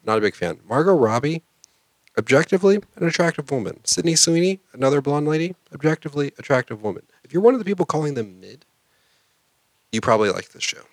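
A man's voice: fairly high pitch, big pitch swings, fast talker, dry recording, low-quality recording. A man co-hosting a casual anime podcast, talking in blunt, opinionated, sweary banter. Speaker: podcast co-host.

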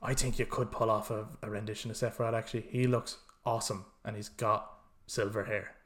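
A man co-hosting a podcast, chatting casually with animated, sweary rants and acted-out reactions. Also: lowish voice, fairly quick. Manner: animated, sweary ranting, acts out imagined reactions. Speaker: podcast co-host. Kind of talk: casual chat about films and games.

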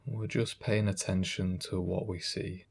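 Low-voiced man guiding a meditation. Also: creaky, slightly rough. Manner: calm, unhurried, gentle instructions with long pauses. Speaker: meditation guide. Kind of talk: guided meditation, spoken instruction.

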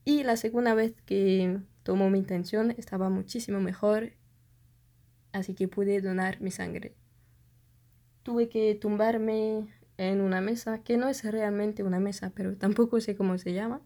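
A woman's voice: mid-range voice, steady tone, a little slow, dark tone. A young woman whose first language is French, telling a personal story in a casual, hesitant way, often searching for words. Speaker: vlogger.

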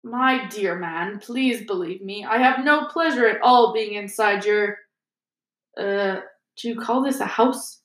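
Storybook villain, played by a woman: wealthy male aristocrat, silky, sneering, mock-polite, unhurried drawl. Echoey room. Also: medium pitch, close to an everyday voice. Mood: smooth contempt. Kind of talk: storybook character voice.

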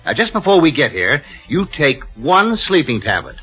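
An actor playing a coldly calculating, persuasive swindler in an old-time radio drama, commanding and pushy. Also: male voice, fairly high pitch, sing-song, normal speed, bright, forceful tone, breathy edge, full character voice. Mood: brisk, coaxing. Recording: dull old radio recording with music.

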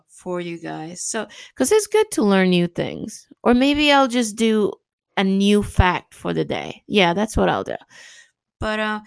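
A woman's voice: on the low side, vocal fry, clear, resonant tone, no echo, studio quality. A woman who speaks Spanish as her first language, hosting a casual, encouraging podcast monologue full of fillers and little laughs. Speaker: podcast host.

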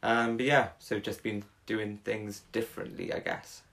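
Man, low-pitched, rambling casual chat, lots of ums and restarts. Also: little pitch movement, normal speed, vocal fry, fairly bright tone. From Wales. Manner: rambling, many fillers and restarts. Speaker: podcast guest. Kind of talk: casual conversation.

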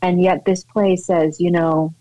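A woman's voice: on the low side, level tone, measured pace, mellow, dry recording, rough recording. Effusive, chatty woman with a dry sound. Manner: effusive, many fillers and restarts. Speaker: actress guest. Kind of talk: casual interview chat.

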